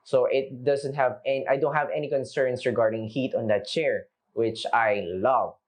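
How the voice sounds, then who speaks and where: A man's voice: medium pitch, fairly even intonation, normal speed. Chatty Filipino man reviewing a chair. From Philippines. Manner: loose and chatty, addresses viewers as guys, frequent uh fillers. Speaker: product reviewer. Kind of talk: unscripted casual product review. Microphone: camera mic.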